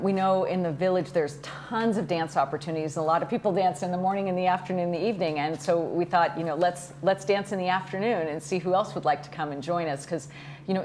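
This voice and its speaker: Female club representative as talk-show guest, deep for a woman, slight noise behind. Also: a little mellow. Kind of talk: explanatory interview chat.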